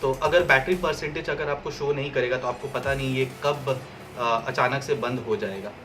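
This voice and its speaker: A man's voice: fairly high pitch, pushed and bright. A man giving a casual, step-by-step product review and how-to, dropping English phrases into his talk. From India.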